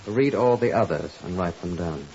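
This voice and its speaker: An actor playing a curt, commanding police superintendent in 1950s radio drama style. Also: male voice, low voice, animated, moderate pace, a little mellow. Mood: curt, impatient. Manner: curt, authoritative. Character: gruff, commanding Scotland Yard police superintendent, curt and impatient. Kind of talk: 1950s radio drama acting and first-person narration. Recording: muffled, hissy 1950s radio recording.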